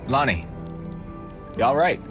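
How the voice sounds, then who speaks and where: An actor playing a loyal, protective, anxious soldier with an earnest, concerned way of talking in a video game drama. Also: male voice, mid-range voice, sing-song, a little slow. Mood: caring, earnest. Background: music.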